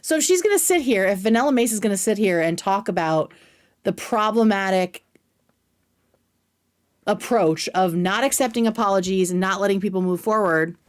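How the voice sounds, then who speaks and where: A woman's voice: mid-range voice, normal speed. A woman hosting a casual livestream commentary, speaking in a sarcastic, emphatic way with plenty of slang. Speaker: livestream host.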